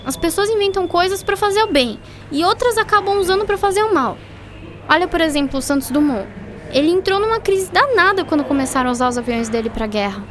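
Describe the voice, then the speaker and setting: A woman's voice: extremely high pitch, expressive, fast. A woman playing a spirited, curious, cheeky young girl in a radio drama, with a Brazilian accent and girlish, exclamatory, colloquial speech. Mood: indignant. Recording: clearly noisy ambience, vehicle sounds.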